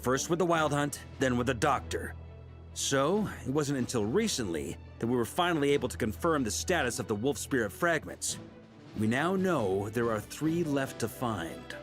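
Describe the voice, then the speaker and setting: An actor playing a seasoned, confident knight commander laying out a plan. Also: male voice, medium pitch, normal speed, close to an everyday voice. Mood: commanding. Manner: steady, assured, commanding. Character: seasoned male knight commander, calm planner. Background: game music.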